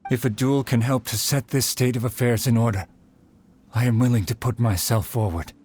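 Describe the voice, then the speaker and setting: A man's voice: mid-range voice, fairly even intonation, darkish tone, slight background noise, close to an everyday voice. A man playing an earnest, honorable samurai swordsman in a video game, formal and composed. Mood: stern, resolute. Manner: formal, composed, measured wording. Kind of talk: dramatic video game voice acting.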